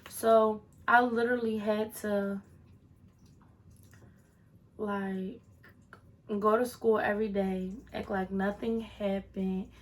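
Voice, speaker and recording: A woman's voice: mid-range voice, flat delivery, slow pace, pauses often. A woman of twenty-one answering viewer questions in a casual vlog, chatty with sassy asides to her viewers. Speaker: vlogger.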